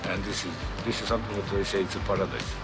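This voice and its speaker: A middle-aged man from Serbia, a football coach, speaking halting non-native English in an interview. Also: on the low side, flat delivery, over loud music, poor audio. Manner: halting, broken English with restarts.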